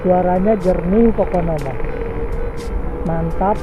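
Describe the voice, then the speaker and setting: A man's voice: high voice, takes it slowly, lo-fi sound, noisy background. A young man with a Sundanese accent chatting in joking, crude slang as he vlogs over a helmet intercom on a motorbike. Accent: Sundanese-accented Indonesian. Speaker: motovlogger. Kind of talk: casual banter while riding. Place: outdoors on a moving motorbike.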